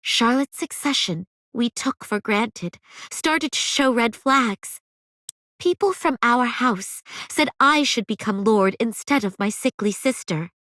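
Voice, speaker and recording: A woman's voice: on the high side, slow pace, dry recording. A woman playing a headstrong young noble girl, stubborn and devoted, in an anime-style game. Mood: wistful narration. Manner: animated, stubborn, emphatic.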